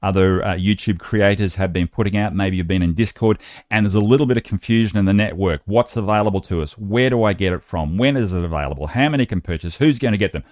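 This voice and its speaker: A man with an Australian accent and a low-pitched voice presents an online update. He speaks conversationally, addressing his viewers directly as he explains things. The sound is dry and close, with very little room in it. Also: quick pace, darkish tone, dry recording. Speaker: YouTube channel host and presenter. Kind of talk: informal explanatory video presentation.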